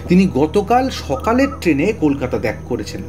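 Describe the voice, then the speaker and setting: An actor playing a talkative, excitable middle-aged police detective in an audio drama, blustery, often stammering and repeating words. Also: male voice, a little high, normal speed, soft background music. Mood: grave, explanatory. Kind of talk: Bengali audio story acting.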